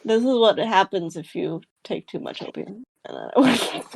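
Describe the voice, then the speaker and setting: Give me a husky woman player, joking around at a tabletop game. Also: mid-range voice, normal speed. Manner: joking, lively. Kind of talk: casual chat at a tabletop game.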